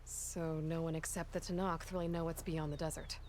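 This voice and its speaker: An actress playing a level-headed, inquisitive female adventurer in a video game, speaking in a measured, probing way. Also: low-pitched, level tone, darkish tone, slight background noise, close to an everyday voice. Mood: curious.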